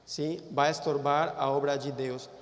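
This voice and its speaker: A Peruvian man whose first language is Spanish, preaching a sermon in Portuguese with a Peruvian Spanish accent, halting and repeating words as he searches for phrases. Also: on the high side, little pitch movement. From Peru. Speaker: pastor. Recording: echoey hall, far from mic.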